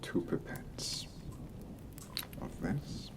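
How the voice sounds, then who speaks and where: A performer voicing a stern, sardonic potions professor in a whispered ASMR roleplay, slow, deliberate and condescending, with clipped commands. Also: far from the mic. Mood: dry, condescending. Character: stern, sardonic potions professor interrogating students at wizard school.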